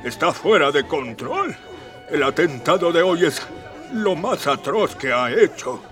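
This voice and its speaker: Give the radio play its pompous emperor: a highish, theatrical voice that stretches words slowly and grandly. He sounds like an aggrieved father and ruler, a little off the mic over soft music. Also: big pitch swings, far from the mic. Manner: theatrical, drawn-out, grandiose speech. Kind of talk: scripted radio drama acting.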